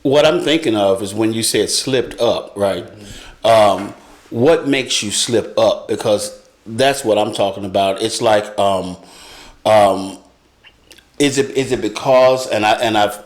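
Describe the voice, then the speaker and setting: A man hosting a casual live discussion, speaking haltingly as he searches for his words. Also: fairly low pitch.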